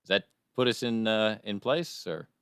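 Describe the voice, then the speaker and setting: A man's voice: lowish voice, moderate pace, a bit bright, clean tone, dry recording, clean studio sound. A man co-hosting a comedy podcast, signing off in a playful announcer style. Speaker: podcast co-host. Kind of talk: casual comedy podcast chat.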